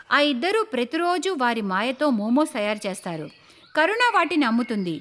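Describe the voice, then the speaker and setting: A woman storyteller narrates a cartoon moral tale from a script. Her voice is very high and animated in its rise and fall, with clear noise behind it.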